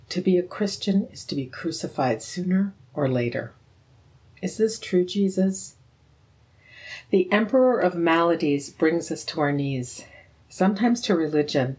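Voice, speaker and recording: A woman from Minnesota with a notably low voice, speaking calmly and earnestly. She introduces her poem before reading it. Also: low-quality recording. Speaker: poet.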